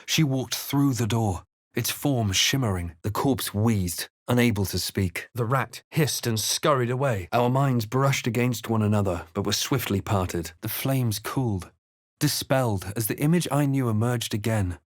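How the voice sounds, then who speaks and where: An actor playing a brooding adventurer hero in a fantasy game, speaking in a grave, deliberate, understated way. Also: male voice, medium pitch, dry recording, studio quality, natural voice. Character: brooding, measured adventurer hero recounting his dark journey. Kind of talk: scripted fantasy game narration and character lines.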